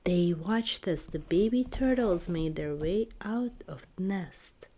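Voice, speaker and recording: A woman reading a children's story in ASMR style, in a soft, breathy whisper with deliberate, expressive delivery. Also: fairly low pitch, soft background music. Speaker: storybook reader, ASMR creator.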